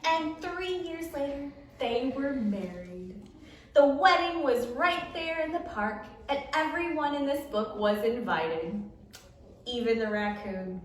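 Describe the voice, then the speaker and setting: Animated woman reading aloud, very echoey room. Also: sits high. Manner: expressive, with dramatic emphasis and pauses. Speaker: children's librarian, story time host. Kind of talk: animated picture-book read-aloud for children. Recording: echoey room, distant mic, light noise.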